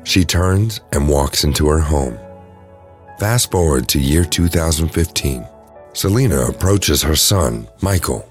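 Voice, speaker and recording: A man's voice: rumbling low, animated, measured pace, faint music behind. A man reading scripted narration for an audio drama.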